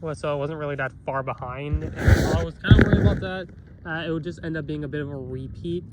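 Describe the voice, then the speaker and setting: A fairly high-voiced man who sounds nasal and has a cough. He rambles through a casual review, restarting and correcting himself constantly. Street noise and passing vehicles fill the background. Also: quick pace.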